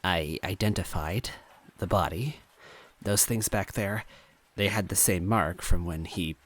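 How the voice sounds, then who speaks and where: A man voicing a grieving young toad girl, halting and emotional, in a story reading. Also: low voice, a bit bright. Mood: drained, grieving.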